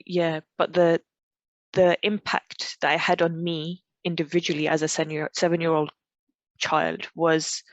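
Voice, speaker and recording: A woman from Pakistan, a podcast guest telling a personal story in a reflective, halting way with frequent restarts. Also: low voice, flat delivery, no room sound. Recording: dull, dry, lo-fi recording.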